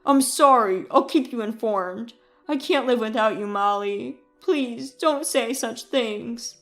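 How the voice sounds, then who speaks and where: A woman's voice: on the high side, takes it slowly, faint music behind. A woman voicing an evasive, defensive cheating husband in a dramatized audio story, with an exaggerated manner. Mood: pleading. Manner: exaggerated, pleading or dismissive.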